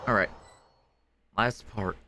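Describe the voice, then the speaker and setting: Low-voiced man streaming a game. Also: steady tone, slow, noisy background. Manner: offhand, joking. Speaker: gaming streamer. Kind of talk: casual playthrough commentary, reading dialogue aloud. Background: game music.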